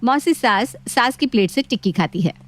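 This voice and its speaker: Female storyteller, fairly high voice, scripted moral-tale narration with a music bed. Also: expressive, normal speed. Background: background music.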